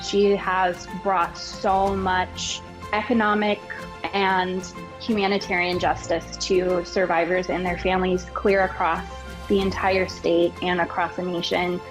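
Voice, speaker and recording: A woman speaks in a flat, matter-of-fact tone in an interview. Her voice is on the low side, and clear music plays underneath. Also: measured pace. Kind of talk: documentary interview.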